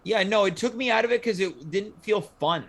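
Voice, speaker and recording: A man's voice: sits high, lively intonation, moderate pace. A man hosting a talk show in casual, conversational chat.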